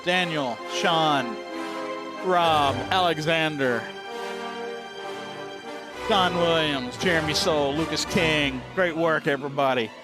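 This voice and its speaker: Casting: male reaction host, lightish pitch, casual unscripted rambling, soft music underneath. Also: slow pace, long pauses.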